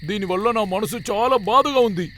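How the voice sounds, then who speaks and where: A man voicing a naive, excitable younger-brother bear in a dubbed cartoon, given to simple exclamations. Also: high voice, brisk, slight background noise. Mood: concerned.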